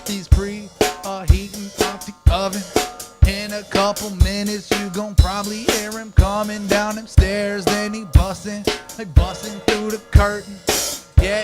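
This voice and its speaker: A loose, playful freestyle rapper who half-sings rhyming lines in an easy middle register. Loud live drums and band music pound the whole way behind the voice, as in a live jam stream. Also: mid-range voice. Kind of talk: freestyle rapping and half-singing over live looped music.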